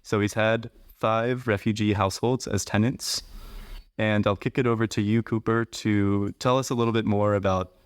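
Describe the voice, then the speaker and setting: A man hosting a podcast interview, speaking in a measured, explanatory way. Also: lowish voice, fairly even intonation, clear, resonant tone, no room sound, studio quality. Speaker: podcast host.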